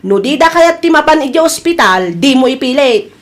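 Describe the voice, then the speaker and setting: Defensive, dismissive wife, played by a woman, high voice, Ilocano accent, domestic comedy drama. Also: lively intonation, fairly quick.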